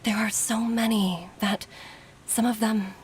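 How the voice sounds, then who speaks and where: A woman's voice: mid-range voice, level tone, measured pace, a little hiss or hum, close to an everyday voice, poor audio. Woman acting a grave, worried female physician. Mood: pleading concern. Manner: grave, soft, sighing delivery. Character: earnest, worried female physician and mother, self-reproachful. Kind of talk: dramatic video game voice acting. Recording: game dialogue over background music.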